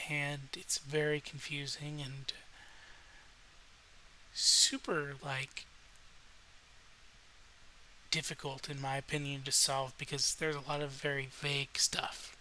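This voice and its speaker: A man with a slightly rough voice, rambling in a relaxed, hesitant late-night style with frequent pauses, as a video host. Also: on the high side, rough recording. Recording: noticeable faint background hiss.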